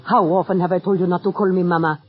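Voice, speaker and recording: An actress playing a proud, passionate, middle-aged opera diva with a grand theatrical manner in a 1940s radio drama. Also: female voice, lowish voice, steady tone, quick pace, close to an everyday voice. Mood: affectionate. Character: proud, imperious, passionate female opera diva, secretly a mother. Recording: muffled vintage radio recording.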